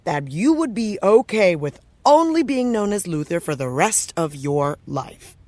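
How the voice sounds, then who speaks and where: A woman's voice: on the low side, very animated, a little slow, a little mellow, no echo. A woman playing a jaded, self-doubting American television actress in an audio drama, talking casually and wryly with trailing thoughts. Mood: wry, teasing. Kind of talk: naturalistic audio drama dialogue.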